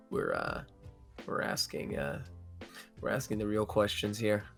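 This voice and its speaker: A young man guesting on a podcast with a hoarse voice, telling stories in an animated, profane way. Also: lowish voice, slow pace, soft background music. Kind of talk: casual chat.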